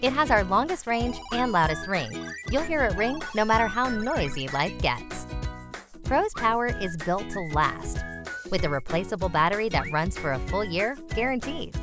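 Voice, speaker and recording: Animated woman reading promo voiceover. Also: mid-range voice, moderate pace, over music. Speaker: voiceover artist. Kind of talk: scripted promo and product commercial narration.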